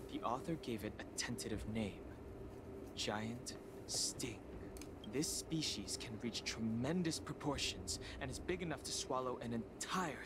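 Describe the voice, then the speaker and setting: An actor playing a composed, scholarly young space adventurer in a video game, speaking in a measured, analytical, serious way. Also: male voice, mid-range voice, background noise, lightly acted.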